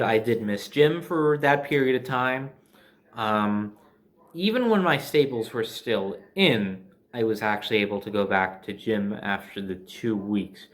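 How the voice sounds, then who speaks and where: A man's voice: medium pitch, a bit bright. A man from New Jersey with an American accent tells a personal story casually, conversationally, with sardonic asides. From New Jersey, USA.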